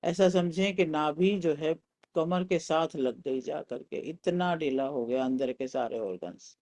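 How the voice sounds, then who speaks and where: Slow-paced Indian man guiding meditation. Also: a little high. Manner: calm, soothing, instructing in short phrases with pauses. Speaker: guided meditation teacher. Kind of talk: guided meditation instruction.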